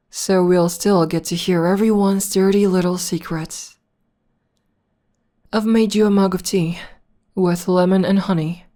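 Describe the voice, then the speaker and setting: Woman voicing a slow, mellow, teasing villainess. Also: fairly low pitch, natural voice. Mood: wry, coaxing. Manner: soothing, drawn-out, teasing endearments. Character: female villain tenderly nursing her hero nemesis, teasing, possessive. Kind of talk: ASMR roleplay, soft intimate acting.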